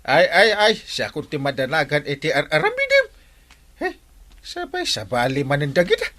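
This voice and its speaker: An actor with an Ilocano accent playing an exasperated, scolding village captain in a radio comedy drama, excitable and full of exclamations. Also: male voice, a little high, normal speed, heavily acted.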